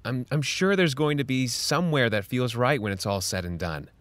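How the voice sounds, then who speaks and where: An earnest man advising a youngster in an audio drama, played by an actor. His mid-pitched voice is measured and gently pushy, with small hesitations. It is recorded dry and close. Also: dry recording, natural voice. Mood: reassuring, insistent. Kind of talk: naturalistic audio drama dialogue.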